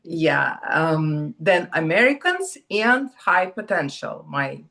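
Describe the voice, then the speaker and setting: Russian-accented woman, slightly low voice. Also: expressive, takes it slowly, creaky, fairly bright tone. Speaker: actress, interview guest. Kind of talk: casual conversational interview.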